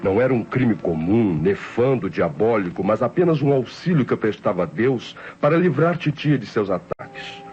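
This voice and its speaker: An actor playing an idle, self-justifying nephew who narrates his confession formally and deliberately, with a Brazilian accent, in an old radio drama. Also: lowish voice, animated, a little mellow, lightly acted. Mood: cold, self-justifying. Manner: formal, deliberate, theatrical diction. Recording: dull old radio recording with music.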